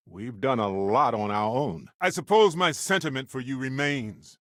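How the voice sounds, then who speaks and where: An actor playing a wry, adventurous, warm-hearted space explorer companion in a video game, speaking in an expressive, conversational way. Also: male voice, mid-range voice, normal speed, dry recording, studio quality, natural voice.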